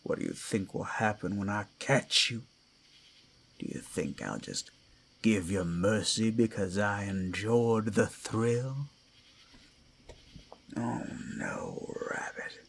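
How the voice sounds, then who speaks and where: A man playing a predatory male werewolf in an ASMR roleplay, speaking in a gravelly, breathy, menacing whisper as he savors the hunt. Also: fairly low pitch, animated, takes it slowly, long pauses, full character voice. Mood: hungry, menacing.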